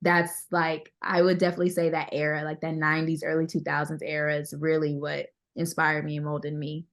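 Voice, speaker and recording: A woman speaks as a guest in a casual interview. Her voice is on the deeper side, and her delivery is candid and conversational, with repeated phrases for emphasis. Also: completely flat delivery, brisk. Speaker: interview guest, singer-songwriter. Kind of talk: casual chat.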